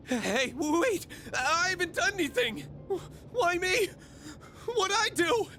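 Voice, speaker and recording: A man's voice: very high-pitched, expressive, takes it slowly, pushed and bright, a little hiss or hum, heavily acted. A man playing a frightened, foul-mouthed young man in a video game. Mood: panicked pleading.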